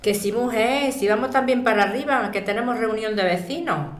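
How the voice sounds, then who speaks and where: A woman's voice: fairly high pitch, brisk, close to an everyday voice. A woman with an accent from Spain acting a chatty, curious neighbour in an audio drama. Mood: chatty, reassuring. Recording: echoey room.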